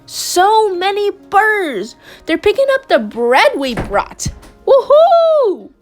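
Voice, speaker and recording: An excited little girl from a picture book calls out eagerly, her voice high and leaping. A woman acts her out over faint music. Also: slow pace, full character voice. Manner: excited, calling out. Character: excited young girl dreaming of flying.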